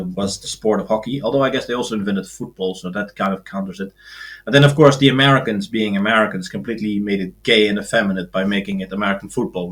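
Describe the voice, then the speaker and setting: Guy, lowish voice, guest on a podcast, deadpan and sarcastic. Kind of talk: casual podcast conversation.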